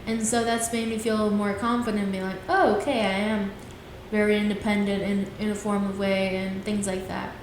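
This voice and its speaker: A woman's voice: mid-range voice, level tone, moderate pace. A woman in her twenties hosting her own podcast, talking in an earnest, rambling way and often trailing off with "things like that.". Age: 20s. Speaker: podcast host. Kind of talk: casual solo podcast talk. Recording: very echoey room, faint hiss.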